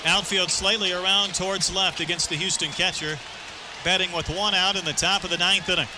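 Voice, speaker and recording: A man's voice: sits high, steady tone, fairly quick. A man calling live baseball play-by-play as an announcer from a stadium booth. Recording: noisy ballpark broadcast, loud crowd. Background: roaring stadium crowd.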